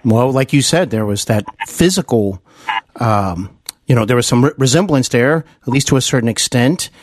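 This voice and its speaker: A man's voice: mid-range voice, moderate pace, dry recording. Man hosting, close dry sound. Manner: admiring, attentive fan. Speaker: podcast host, interviewer. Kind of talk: conversational interview.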